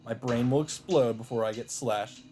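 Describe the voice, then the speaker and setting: A man's voice: medium pitch, moderate pace, mellow. A man reading the narration of a visual novel aloud as a let's play host. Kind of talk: story narration read aloud. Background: faint game music.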